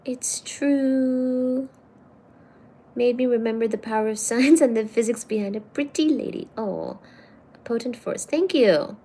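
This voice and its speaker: Woman live-streaming, teasing her viewers, kinda high voice, takes it slow. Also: warm tone, husky. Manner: playful and teasing, calls viewers darling. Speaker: livestream host. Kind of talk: casual livestream chat reading viewer comments.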